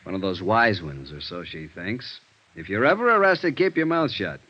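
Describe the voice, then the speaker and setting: An actor playing a sardonic, weary police sergeant in a 1950s radio drama, questioning with a dry needling manner. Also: male voice, fairly low pitch, sing-song, normal speed. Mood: dry, casual. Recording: dull vintage radio recording.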